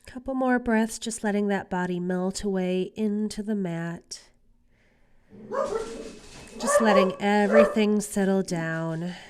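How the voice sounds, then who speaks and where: A woman's voice: mid-range voice, slow pace, a little mellow. A woman yoga instructor getting over a cold, guiding a class in a casual, reassuring way. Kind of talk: guided exercise class instruction.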